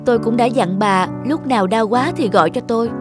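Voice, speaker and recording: Woman as worried young granddaughter, higher voice. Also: brisk, music in the background, close to an everyday voice. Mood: surprised, grateful. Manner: anxious, earnest. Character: worried, grateful adult granddaughter. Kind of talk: cartoon dialogue.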